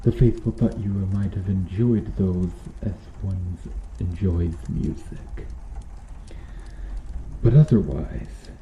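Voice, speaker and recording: A cruel medieval knight played by a man, low in pitch and very slow in delivery. He is brooding, vengeful and menacing, speaking in formal, old-fashioned phrases as a dramatic ASMR roleplay. The sound is notably roomy. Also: warm tone. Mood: grave, explanatory. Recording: muffled, roomy sound with background noise.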